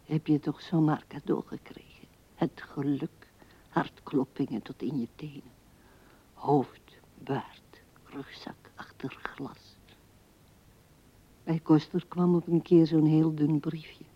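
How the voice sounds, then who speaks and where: Low, slow, murmuring old widow. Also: female voice, steady tone, heavily acted. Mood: wistful musing. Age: older. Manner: murmuring, often dropping into breathy whispers. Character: pensive seventy-year-old widow musing at her husband's grave. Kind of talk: 1970s radio drama monologue. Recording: dull vintage radio recording.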